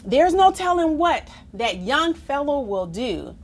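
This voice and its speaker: Animated, expressive woman reading a storybook. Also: high voice, slow. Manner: expressive, theatrical, chatty asides to young listeners. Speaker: storybook reader. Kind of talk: animated read-aloud of a children's rhyming book.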